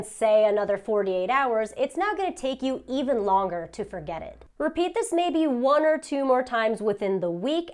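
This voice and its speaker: A young woman, a native Japanese speaker raised in the U.S., explaining study methods in a clear, upbeat scripted lesson. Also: fairly high pitch, a little mellow. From United States. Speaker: language teacher. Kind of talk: scripted educational monologue. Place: home in Japan.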